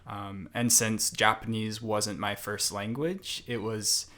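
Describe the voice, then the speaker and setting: A young man as a podcast guest, chatting in a thoughtful, unhurried way with many fillers and restarts. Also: fairly low pitch, flat delivery, sharp tone. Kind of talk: casual interview conversation.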